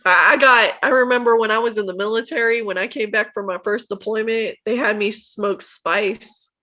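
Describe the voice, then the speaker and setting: A woman's voice: fairly high pitch, normal speed, bright, forceful tone. Candid woman in her thirties, dull mic. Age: 30s. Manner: candid, open about personal history. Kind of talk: casual chat.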